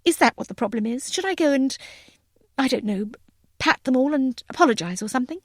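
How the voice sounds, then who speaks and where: A woman's voice: high voice, expressive, brisk, no echo. Audiobook heroine: young woman pilot , acted by a female narrator, effusive, mannerly, period phrasing, close dry sound. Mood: bright, chatty. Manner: effusive, polite, old-fashioned phrasing. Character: chatty, bright, well-bred young woman aviator, eagerly apologetic.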